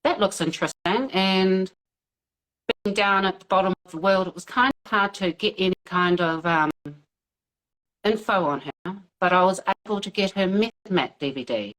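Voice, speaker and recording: A woman's voice: mid-range voice, normal speed, fairly bright tone, low-quality recording. A woman in her thirties from New Zealand with a New Zealand accent, a guest on a video call interview, talking casually with lots of fillers and easy laughs. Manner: hesitant, many fillers, laughs easily.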